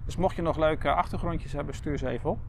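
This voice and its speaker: A man's voice: a little high, fast, gravelly, darkish tone. Male Dutch podcast co-host, middle-aged, casual and explanatory, some background noise. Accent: Netherlands Dutch. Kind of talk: casual chat.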